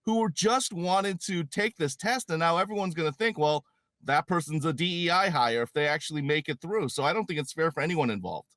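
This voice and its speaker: A man's voice: a little high, lively intonation, brisk, a bit bright, no echo. An American man giving conversational political commentary as a panel guest.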